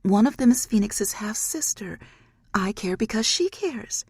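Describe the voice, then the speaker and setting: A woman's voice: medium pitch, lively intonation, a little slow, a little mellow, husky, no room sound, voice pushed up. A woman voicing a bitter young witch speaking to her estranged mother. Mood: tense.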